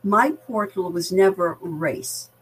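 Older woman, American accent, lowish register, measured and articulate interviewee, some noise and hum behind. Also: low-quality recording. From Barbados. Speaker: veteran diversity practitioner, podcast guest. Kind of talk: reflective conversational interview.

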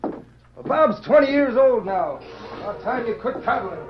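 A man's voice: very high-pitched, lively intonation, normal speed. An actor playing a blunt, disapproving, scolding frontier man in an old radio western. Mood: scornful. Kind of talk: 1940s radio drama acting. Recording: muffled old radio recording.

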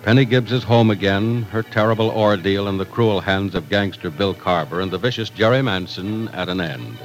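A man's voice: on the low side, normal speed, faint music behind, low-quality recording. A man announcing and narrating from a script in a polished, emphatic 1940s radio style. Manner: polished, emphatic sales delivery. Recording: vintage radio broadcast recording.

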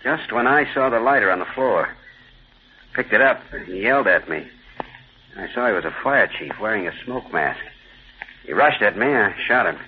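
A man's voice: on the low side, expressive, normal speed, pauses often, a little hiss or hum, close to an everyday voice. A man playing a brazen, hard-bitten hired criminal in an old-time radio crime drama, with a cocky, needling and callous manner. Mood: callous, boastful. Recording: muffled vintage radio recording.

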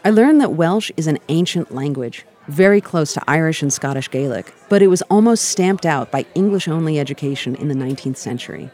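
An American woman giving scripted travel narration in a calm, reflective storytelling manner. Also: low voice, brisk, hardly any pauses. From United States.

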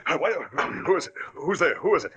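An actor playing a curt, scornful crook in an old-time radio crime drama. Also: male voice, fairly high pitch, expressive, fast talker, fairly bright tone. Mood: alarmed. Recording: dull vintage radio recording.

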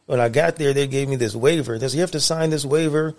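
A man's voice: mid-range voice, quick pace, a little mellow. A young adult man co-hosting a casual podcast, laid-back and laughing often as he reminisces. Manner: laid-back, laughs often, says "you know what I mean". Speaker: podcast co-host.

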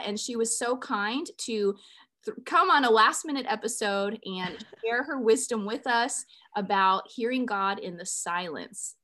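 Woman, podcast host, earnest, conversational, mid-pitched voice. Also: fairly bright tone. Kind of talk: faith podcast intro and interview.

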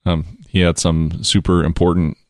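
A man hosting a relaxed chat show, speaking informally and with slang. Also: low-pitched, moderate pace, no echo, studio quality. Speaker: podcast host. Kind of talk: casual chat.

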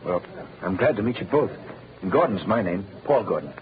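A man's voice: lowish voice, big pitch swings, normal speed. An actor in an old-time radio drama playing an earnest, pleading out-of-work husband and father. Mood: earnest, hopeful. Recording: muffled, noisy old-time radio recording.

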